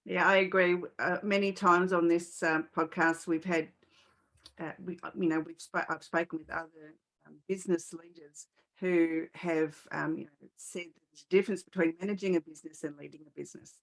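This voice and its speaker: Female podcast host, slightly low voice, casual and agreeable, feels her way through sentences. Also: steady tone, normal speed, a bit bright, rough recording. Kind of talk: casual conversational interviewing.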